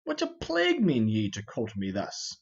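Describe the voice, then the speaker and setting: A man's voice: mid-range voice, wildly swooping pitch, moderate pace, vocal fry, husky, muffled recording, pitched below the performer's own voice, exaggerated voice. A man voicing a blustering, grumbling, fat older knight and thief in an amateur reading of a Shakespeare play. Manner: exclamatory, complaining.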